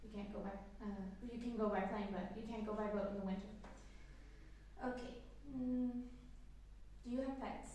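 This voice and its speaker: A woman's voice: mid-range voice, fairly even intonation, normal speed, mellow, recorded from a distance, slight background noise. Chatty twenty-something woman, echoey room. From Canada. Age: 20s. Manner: chatty, upbeat, rambling with fillers. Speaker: channel host. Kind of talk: casual sit-down Q&A chat.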